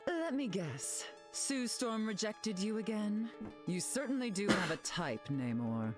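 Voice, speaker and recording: A haughty, condescending queen of a video game. Her lines swoop widely with poised, theatrical sarcasm. Music plays clearly behind her. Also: mid-range voice, very animated, unhurried. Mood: teasing, haughty. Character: haughty, sardonic, glamorous telepathic queen. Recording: game dialogue over loud music.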